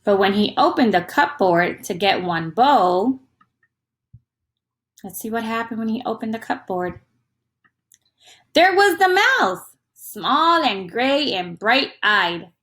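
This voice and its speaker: A woman reads a children's story in a highish voice with big swings of intonation. She takes it very slowly and in a teacherly way, checking in with her young listeners. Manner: expressive, teacherly, asks the listener questions. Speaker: storyteller reading a picture book to young children. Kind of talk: interactive children's read-aloud.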